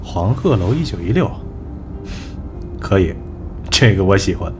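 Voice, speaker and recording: A man's voice: deep voice, sing-song, a little slow, background noise. A man playing a confident, wry talent manager turned executive in an audio drama, assured and dry. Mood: wry, playful. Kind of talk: Chinese audio drama acting.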